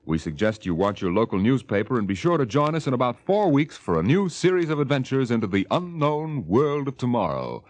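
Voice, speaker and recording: A man narrating a 1950s radio drama as host, with measured, dramatic, literary delivery. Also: medium pitch, very animated, no room sound. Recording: vintage 1950 radio recording. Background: music.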